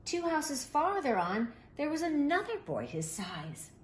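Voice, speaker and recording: A woman reading a children's picture book aloud in an expressive, animated way, with deliberate emphasis. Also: sits high. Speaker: storybook reader. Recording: roomy echo, faint background noise.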